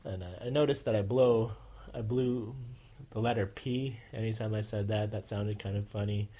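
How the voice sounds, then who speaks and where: A man's voice: fairly low pitch, fairly even intonation, moderate pace, dark tone. A man hosting a casual podcast, with a nasal voice, rambling with many fillers and restarts. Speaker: podcast host.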